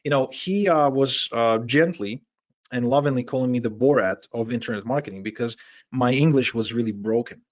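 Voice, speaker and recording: A man from Ukraine whose first language is Russian, giving a brisk, persuasive webinar presentation as an online marketing coach, often restarting his sentences. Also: medium pitch, muffled recording.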